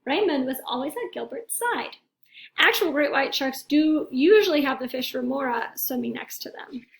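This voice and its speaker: A woman reads aloud to children in a high voice with plenty of fry. She is expressive, like a teacher, and speaks straight to her young listeners. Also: normal speed. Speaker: teacher reading a picture book aloud.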